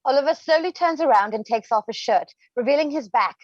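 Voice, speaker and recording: Higher-pitched woman narrator, dull dry sound. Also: expressive, fairly quick, no echo. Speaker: stage directions narrator. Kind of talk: scripted narration.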